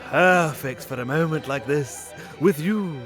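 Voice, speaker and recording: Suave aristocratic wooer male actor, gallant and flowery, fairly high voice, period radio farce. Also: a little slow, lightly acted. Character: suave, courtly aristocratic suitor, flattering. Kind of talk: period romantic-comedy acting. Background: prominent music.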